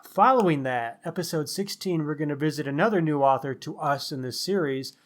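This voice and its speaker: Higher-voiced man chatting on a podcast. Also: fairly quick. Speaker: podcast co-host. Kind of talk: casual book podcast chat.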